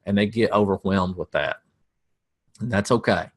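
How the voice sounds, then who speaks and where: A man's voice: lowish voice, moderate pace. A middle-aged man with a Southern American accent, teaching coaches about marketing in a casual, folksy way with self-deprecating jokes.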